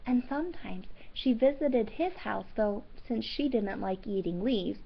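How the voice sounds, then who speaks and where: A woman's voice: a little high, lively intonation, measured pace, gravelly, a little hiss or hum. Woman reading a storybook, muffled lo-fi sound. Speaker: teacher reading aloud. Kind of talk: children's storybook read-aloud with teaching commentary. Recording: dull, lo-fi sound.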